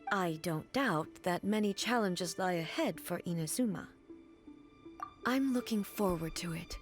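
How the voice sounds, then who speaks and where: A solemn, regal ruling goddess. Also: female voice, fairly low pitch, lively intonation. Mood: resolute. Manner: measured, formal, stately. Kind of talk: scripted video game dialogue. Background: music.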